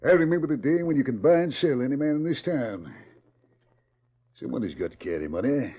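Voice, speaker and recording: Animated, husky frontier sheriff. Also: male voice, mid-range voice, fairly quick. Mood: reassuring. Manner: hearty, folksy, emphatic. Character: loyal, reassuring frontier sheriff, an old friend. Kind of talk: 1940s radio western acting. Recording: dull, muffled old 1940s radio recording.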